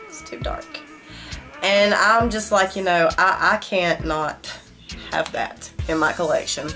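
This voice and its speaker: A woman's voice: medium pitch, a bit bright. A middle-aged woman, a beauty vlogger, reviewing makeup to camera in a casual, emphatic way, her nose stuffed up from a cold. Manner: chatty and emphatic, talking straight to viewers. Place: small home room. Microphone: camera facing her at desk distance.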